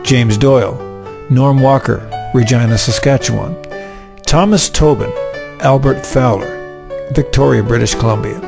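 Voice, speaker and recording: Creaky-voiced male announcer over guitar music. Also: mid-range voice, normal speed. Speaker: announcer reading the cast list. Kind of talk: scripted credits announcement.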